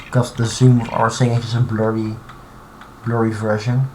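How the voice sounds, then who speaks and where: A man streaming live from his computer, talking through his modding in a halting, thinking-aloud way full of restarts. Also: lowish voice, little pitch movement, a little mellow. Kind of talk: casual live-stream commentary while modding. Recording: clear background noise and typing.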